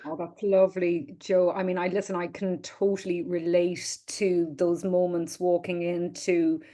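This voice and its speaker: A woman's voice: fairly low pitch, little pitch movement, measured pace, dark tone. A woman hosting a session on a video call, friendly and chatty as she asks questions. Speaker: session host.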